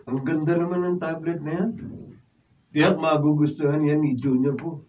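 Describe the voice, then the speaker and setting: Man as a high-voiced, wheedling freeloading brother. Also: steady tone, moderate pace, a little mellow, far from the mic, lightly acted. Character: freeloading, wheedling, stubborn older brother with drinking habit. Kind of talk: radio drama acting. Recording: off-mic room recording, faint noise.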